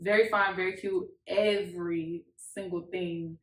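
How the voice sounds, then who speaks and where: A woman's voice: lowish voice, fairly even intonation, unhurried. A woman in her twenties with an American accent, telling a story in a casual, slangy chat to camera. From Miami, Florida, United States. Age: 20s. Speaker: YouTube couple vlogger. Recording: echoey untreated room.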